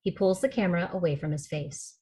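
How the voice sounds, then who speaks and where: Woman reading screenplay action lines out loud, slightly low voice, table read narration. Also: brisk. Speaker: narrator reading stage directions. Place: remote video call rehearsal.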